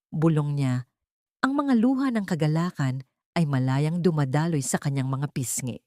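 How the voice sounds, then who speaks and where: A woman reading a dramatic audiobook story, voicing every character herself in one steady storytelling voice. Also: sits low, no room sound, studio quality. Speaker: audiobook narrator. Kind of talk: scripted dramatic narration.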